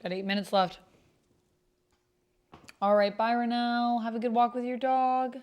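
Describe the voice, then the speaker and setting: A woman's voice: on the high side, flat delivery, moderate pace, clear, resonant tone, highs cut off. A woman streamer chatting casually with viewers, murmuring bits aloud as she types at a keyboard. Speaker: streamer hosting an actual play. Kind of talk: casual livestream chat.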